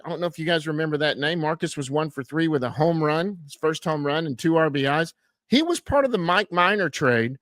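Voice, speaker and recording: A man's voice: a little high, expressive, fairly quick, fairly bright tone, dry recording, clean studio sound. A man hosting a podcast, recapping minor league baseball in a casual, folksy way, full of fillers and stumbles over players' names. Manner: self-deprecating, folksy.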